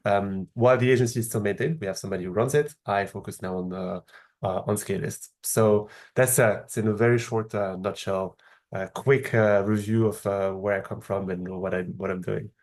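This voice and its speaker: Guy from morocco w a french accent, kinda low voice, explains stuff slowly, lots of uhs. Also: steady tone, darkish tone. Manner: measured, explanatory, frequent hesitations. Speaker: podcast guest, startup founder. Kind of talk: casual interview answers.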